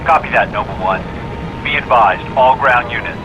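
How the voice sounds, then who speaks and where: An actor playing a military controller in a video game cutscene, with formal, procedural delivery. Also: male voice, medium pitch, normal speed, highs cut off, noisy background, lightly acted. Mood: official.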